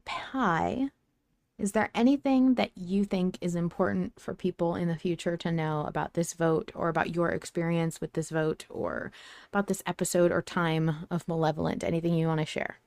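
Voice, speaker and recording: Woman, podcast host, slightly low voice, casual discussion, dry recording. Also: normal speed, creaky, dry recording.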